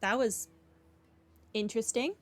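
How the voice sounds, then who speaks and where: A woman's voice: a little high, unhurried, a little hiss or hum. A woman giving casual playthrough commentary as a video game streamer, with music behind her.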